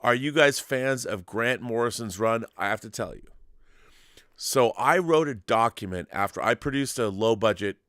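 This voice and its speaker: A man's voice: medium pitch, expressive, moderate pace, fairly bright tone, no echo, studio quality. A man hosting a casual live stream, chatting in an enthusiastic, rambling way and reading out viewer messages.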